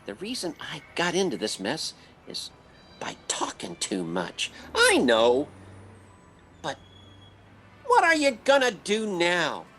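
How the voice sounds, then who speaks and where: A man's voice: fairly high pitch, wildly swooping pitch, slow pace, long pauses, bright, forceful tone, slight background noise, heavily acted. An American man playing a bumbling, self-mocking husband in old-time radio comedy, stammering and flustered. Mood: self-reproachful.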